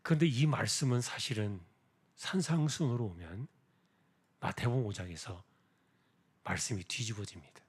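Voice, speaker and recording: A man preaching an expository sermon as a pastor, explaining deliberately with rhetorical questions and a husky voice. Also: fairly low pitch. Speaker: pastor preaching at a church prayer meeting.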